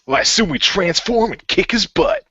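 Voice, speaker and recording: A man's voice: high voice, wildly swooping pitch, moderate pace, pushed and bright, husky, no room sound. A man improvising dialogue and narration for a radio play in a casual, playful way. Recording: dry, dull lo-fi microphone.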